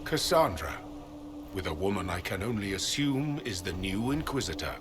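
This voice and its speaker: An actor playing a grave, fanatical leader of a holy order in a video game, measured and lecturing. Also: male voice, sits low, sing-song, background noise. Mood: solemn. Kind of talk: dramatic video game villain monologue. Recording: prominent music behind the voice.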